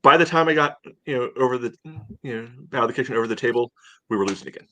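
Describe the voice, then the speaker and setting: A man co-hosting a soccer podcast, recapping casually and haltingly, leaning on "you know" a lot. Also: mid-range voice, a bit bright, a little hiss or hum, poor audio. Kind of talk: casual match recap chat.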